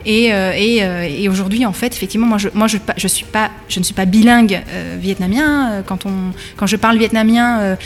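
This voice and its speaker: A woman in her thirties, from France, answers interview questions. She hesitates often and restarts her sentences. Her mid-pitched voice carries a long reverb, as if in a large room. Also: a little fast, hardly any pauses. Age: 30s. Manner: hesitant, many fillers and restarts. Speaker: guest, bakery school manager. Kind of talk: radio interview.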